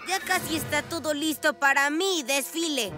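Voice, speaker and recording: A woman's voice: high voice, normal speed, lightly acted. A woman voicing a mischievous, bossy girl villain in a dubbed cartoon, gloating as she speaks. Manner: gloating, cackling. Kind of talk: cartoon dubbing. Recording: loud music behind voice.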